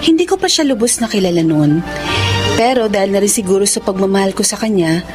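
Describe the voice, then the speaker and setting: A woman's voice: medium pitch, expressive, brisk, lightly acted. A woman acting a troubled wife who narrates in a confiding way for a Filipino radio drama. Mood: troubled, reflective. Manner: reflective, confiding. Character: troubled young wife recounting her marriage. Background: music.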